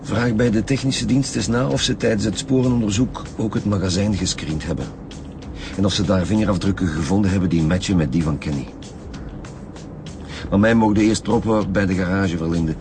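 A very low-pitched Flemish detective questions and orders with blunt authority. It is naturalistic radio drama, with music in the mix. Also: male voice, a little fast. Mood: businesslike. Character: stern, suspicious male chief police detective.

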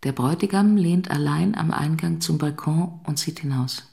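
A woman narrator with a low voice and plenty of creak. She reads scene descriptions in a sober, measured way. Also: dark tone. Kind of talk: scripted narration, present-tense scene description.

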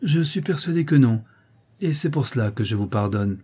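A man's voice: medium pitch, animated, normal speed, dark tone, breathy edge. A man voicing a placid young woman, a humble dependent in a storybook, speaking politely with a touch of condescension. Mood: offended, composed.

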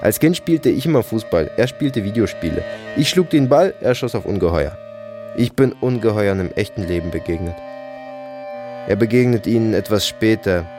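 A man's voice: on the low side, lively intonation, moderate pace, music in the background, close to an everyday voice. An actor playing a brooding, detached man in an audio drama monologue, speaking in terse fragments with sudden emphatic bursts. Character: brooding, detached male narrator recounting violence and family.

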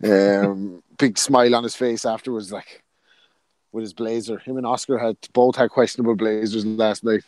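A man's voice: fairly low pitch, steady tone, moderate pace, a little mellow, no echo. A man co-hosting a casual podcast, cracking jokes and laughing at his own quips. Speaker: podcast co-host. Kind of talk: casual podcast chat about boxing.

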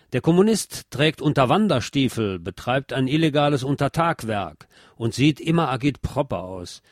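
A man narrating a scripted cultural history feature in a measured, formal and literate manner. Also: mid-range voice, dry recording, clean studio sound.

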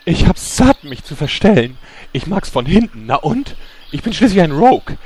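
A man playing a smug, sly villainous rogue in a parody fantasy audio drama, speaking in a theatrical, drawn-out way. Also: fairly high pitch, big pitch swings, darkish tone. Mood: dramatic.

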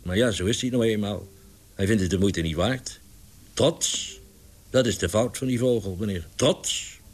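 A man's voice: fairly low pitch, normal speed, husky. A man voicing a big, boisterous, doting pigeon owner in a storybook, exclaiming and coaxing with plaintive indignation. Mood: wounded pride.

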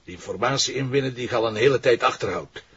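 Smug flemish cop, sly n needling, mid voice, muffled radio drama. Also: male voice, medium pitch, fast, a bit bright, slightly rough, no echo. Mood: smug, menacing. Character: sly, taunting police detective, coolly blackmailing. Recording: dull radio drama recording.

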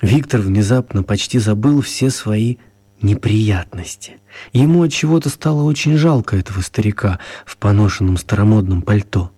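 Husky, mellow male story narrator. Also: lowish voice, expressive, normal speed. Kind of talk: expressive scripted story narration.

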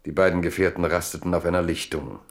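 Tiefer Erzähler eines literarischen Hörspiels. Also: male voice, deep voice, fairly even intonation, fast, slight background noise. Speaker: narrator. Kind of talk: scripted literary radio drama narration.

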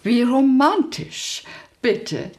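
A woman acting in a German radio play as a refined, morbidly curious society lady with affected, drawn-out salon elegance. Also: high-pitched, lively intonation, noisy background, exaggerated voice. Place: studio radio production.

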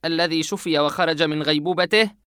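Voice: medium pitch, a little fast, a bit bright, smooth, no room sound, studio quality, pitched above the performer's own voice, close to an everyday voice. A narrator voicing a frightened young man in a horror audiobook, asking anxious, stunned questions. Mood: shaken. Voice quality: shaken, anxious.

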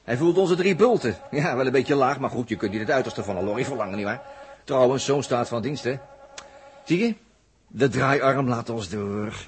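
A man's voice: mid-range voice, normal speed, lightly acted. Brash Dutch space traveller acted by a man, noisy recording. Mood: wry, jovial. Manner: barking orders in short colloquial bursts. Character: brash, bossy, joking male space traveller. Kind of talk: 1960s radio drama acting. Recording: very noisy old 1960s radio recording.

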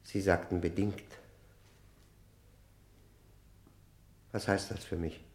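Worn-down proud prisoner, low creaky voice, halting formal speech, roomy echo, radio drama. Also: male voice, little pitch movement, a little mellow. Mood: stiff, wary. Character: proud, worn-down male prisoner insisting on his innocence. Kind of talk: German radio play acting.

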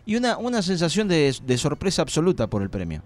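A man's voice: fairly high pitch, wildly swooping pitch, fast talker, darkish tone. A man with an Argentine accent hosting a live radio show in a casual, rambling, colloquial chat.

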